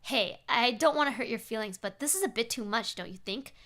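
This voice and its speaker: A woman's voice: a little high, lively intonation, fairly quick, a bit bright. Woman voicing a former rock musician man, weary, irritated, blunt, horror story. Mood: fed up.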